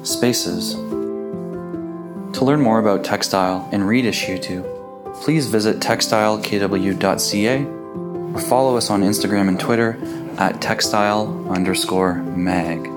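A man's voice: on the low side, a little slow. A man reading a scripted introduction as an announcer. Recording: loud plucked guitar music behind. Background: guitar music.

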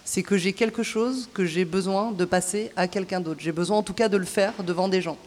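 A woman's voice: medium pitch, steady tone, fairly quick, a little mellow, slight background noise. A woman stage director and author, talking in a live festival interview, hesitant, with many fillers and restarts. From southern France. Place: outdoor cloister at a festival. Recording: echoey outdoor cloister, live broadcast.

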